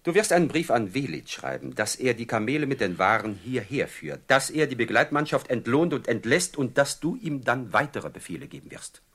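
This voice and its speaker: An actor playing a falsely courteous, menacing robber chief who quotes poetry in an unctuous, theatrically drawn-out way, in a classic German radio drama. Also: male voice, medium pitch, sing-song, a bit bright, husky. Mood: smugly commanding. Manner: unctuous, mock-polite, theatrically drawn-out phrasing.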